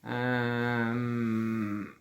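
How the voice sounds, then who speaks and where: A book vlogger speaks at a middling pitch in a casual, rambling vlog style. The talk is informal and halting, often trailing off and circling back to correct itself. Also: flat delivery. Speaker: book vlogger hosting a read-along.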